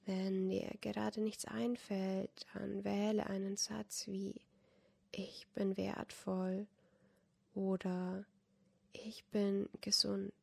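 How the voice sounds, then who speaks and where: A woman softly guiding a yoga nidra meditation in a breathy, whispery voice, calm and unhurried, with long pauses. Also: medium pitch. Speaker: yoga nidra guide, podcast host. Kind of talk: guided meditation, soft instruction.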